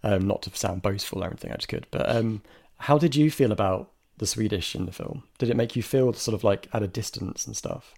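A man's voice: lowish voice, brisk, creaky, a little mellow. A man co-hosting a casual film discussion, chatty and thoughtful, with frequent restarts and hedges. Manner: chatty, many restarts and hedges, bursts of laughter. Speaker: film podcast co-host.

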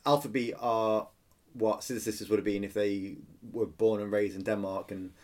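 Lower-pitched man, chatty and hesitant. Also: steady tone, normal speed, a little mellow. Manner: enthusiastic, playful, with frequent hesitations. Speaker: podcast co-host. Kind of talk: casual chat reviewing an album.

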